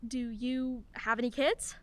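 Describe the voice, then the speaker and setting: A woman's voice: a little high, steady tone, measured pace, a bit bright, no echo. An actress playing a wry, candid single mother in her forties in a radio play, a lawyer who laughs easily while talking. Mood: conversational, wry.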